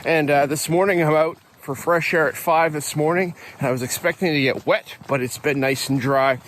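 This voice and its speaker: A middle-aged Canadian man with a slightly raised pitch rambles casually, full of fillers and restarts, as he walks outdoors at dawn. Faint traffic and outdoor hiss sit behind his phone-recorded voice. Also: steady tone, moderate pace, fairly bright tone. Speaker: podcast host. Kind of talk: casual spoken reflection. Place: outdoors on an early morning walk.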